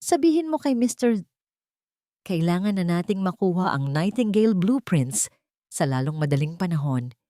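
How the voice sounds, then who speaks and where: A woman's voice: fairly low pitch, expressive, moderate pace, dark tone, no echo, clean studio sound, natural voice. A woman voicing a cold, calculating, scheming mistress in an audio drama, commanding and urgent.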